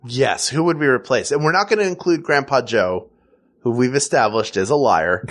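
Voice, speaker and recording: A man's voice: medium pitch, expressive, moderate pace, fairly bright tone. A man with a nasal Philadelphia accent, co-hosting a comedy podcast in playful casual banter. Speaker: podcast co-host.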